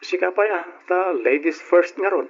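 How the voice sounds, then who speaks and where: An actor with an Ilocano Filipino accent playing a hesitant, evasive young boyfriend in a radio drama. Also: male voice, high-pitched, normal speed, breathy edge. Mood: evasive, polite. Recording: muffled, noisy old radio drama.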